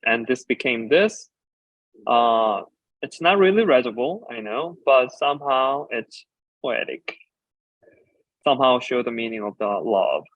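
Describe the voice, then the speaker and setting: A man whose first language is Korean talks through his work on stage, pausing often with fillers as he explains. His voice sits at an ordinary pitch for a man. The recording is dry and a little dull, with a lo-fi edge. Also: animated, fairly bright tone, no room sound. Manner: halting, with frequent filler pauses while explaining. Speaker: designer and ceramicist giving a talk. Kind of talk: conference presentation about slides.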